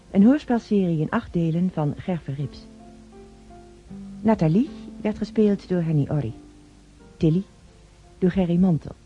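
Een vrouwelijke omroepster die voorgeschreven aftiteling van een hoorspel voorleest. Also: female voice, fairly low pitch, warm tone, lo-fi sound. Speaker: radio drama announcer. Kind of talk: scripted credits reading. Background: guitar music.

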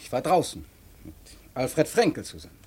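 An actor playing an evasive young son in a radio drama, cowed yet defiant, giving clipped, defensive replies. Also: male voice, medium pitch, wildly swooping pitch, moderate pace, a little mellow, slightly rough. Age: young adult. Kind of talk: German radio drama acting.

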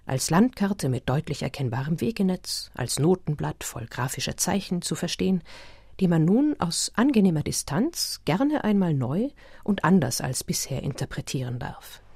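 Academic narrator, breathy voice, formal, sober, deliberate, scripted radio drama, dry. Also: female voice, lowish voice, very animated, a little mellow, no room sound, full character voice. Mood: sober, explanatory. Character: sober, scholarly female narrator of an explanatory report.

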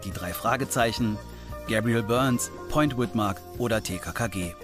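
A man's voice: fairly low pitch, moderate pace. A man reading a scripted documentary voice-over as a reporter. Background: music.

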